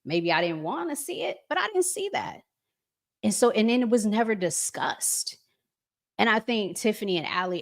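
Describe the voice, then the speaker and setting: American lady host giving relationship advice, kinda low voice, really slow and deliberate, earnest. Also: vocal fry. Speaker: host of a relationship talk show. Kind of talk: live chat to viewers, reading comments, giving advice.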